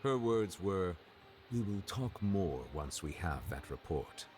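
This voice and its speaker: An actor playing a solemn, dignified male judge in a video game, speaking in a formal, measured, courteous way. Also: deep voice, expressive, a little mellow, slight background noise. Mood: grave. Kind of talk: scripted video game dialogue. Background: game music.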